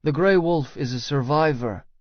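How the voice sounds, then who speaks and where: A man's voice: on the high side, normal speed, dry recording, highs cut off. A man reading scripted first-person fiction as an audiobook narrator.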